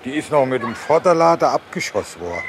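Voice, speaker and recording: An actor playing an earnest local man who explains things in simple, deliberate phrases in a naturalistic radio play. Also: mid-range voice, very animated, background noise.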